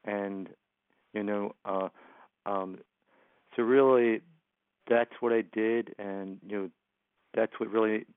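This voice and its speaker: A man's voice: fairly low pitch, fairly even intonation, slow pace, pauses often, smooth, no room sound. Man stuttering over a phone line. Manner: stutters, repeats words and syllables. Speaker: motivational speaker, guest. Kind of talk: interview answers.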